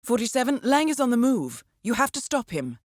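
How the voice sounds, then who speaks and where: A woman playing a composed, dry-witted intelligence handler in a video game, speaking in a measured, formal, understated way as she briefs an assassin. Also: on the high side, sing-song, no echo. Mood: businesslike, urgent. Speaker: mission handler. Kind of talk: scripted video game voice acting, mission briefing.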